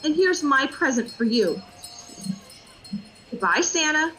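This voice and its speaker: A woman's voice: high-pitched, sing-song, takes it slowly, bright, forceful tone, slight background noise, exaggerated voice, rough recording. A woman playing a grateful, caring children's nurse with a tender, earnest manner. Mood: grateful, moved.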